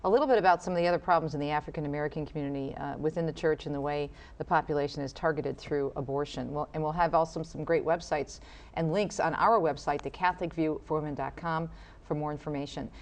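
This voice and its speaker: A woman co-hosting a talk show, briskly steering the conversation and introducing segments. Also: low voice, steady tone, darkish tone. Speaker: co-host.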